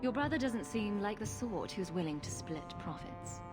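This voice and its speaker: A woman's voice: mid-range voice, lively intonation, moderate pace, lightly acted. An actress playing a guarded young adventurer woman in a video game, questioning in a cool, dry manner. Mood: skeptical. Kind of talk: video game cutscene dialogue. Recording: music behind the voice. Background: background music.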